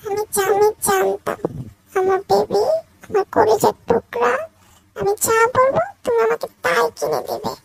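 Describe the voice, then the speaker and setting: A woman voicing a scheming, hot-tempered young gold-digger girlfriend with a squeaky voice in an amateur comedy cartoon. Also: flat delivery, measured pace, gravelly, music in the background, exaggerated voice, rough recording. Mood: gushing. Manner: gushing sweet talk or sharp scolding.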